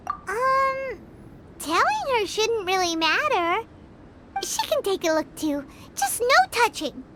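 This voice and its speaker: Squeaky, very high childlike game sprite. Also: female voice, big pitch swings, slow pace, heavy background noise, exaggerated voice. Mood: bossy, proud. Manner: speaks of herself in third person, teasing. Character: small chirpy childlike female companion sprite, cheeky and blunt. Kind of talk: animated game voice acting. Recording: loud music behind the voice.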